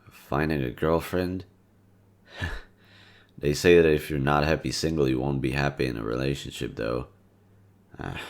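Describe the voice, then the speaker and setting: A young man in an audio roleplay, talking to a listener in a soft-spoken, laid-back and teasing way. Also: rumbling low. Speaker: voice actor.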